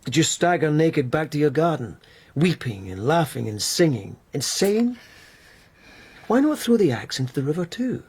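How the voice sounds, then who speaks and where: An actor playing a male court investigator in a radio drama, probing and mannerly but goading, with measured questioning. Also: fairly high pitch, big pitch swings, darkish tone, husky, full character voice. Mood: accusing, goading. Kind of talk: BBC radio drama acting.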